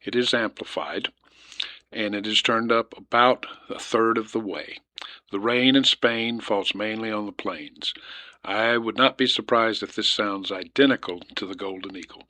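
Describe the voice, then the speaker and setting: A man's voice: fairly low pitch, normal speed, pushed and bright, no room sound. An older man giving an informal, matter-of-fact demonstration as a radio hobbyist, speaking plainly into vintage desktop radio microphones. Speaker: amateur radio hobbyist presenter. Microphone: vintage desktop radio microphones, recorded directly.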